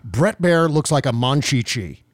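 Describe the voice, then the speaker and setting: A man hosting a podcast, chatting casually about politics in a mocking, sweary, animated way. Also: mid-range voice, measured pace, no room sound, studio quality. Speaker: podcast host. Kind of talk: casual political comedy chat.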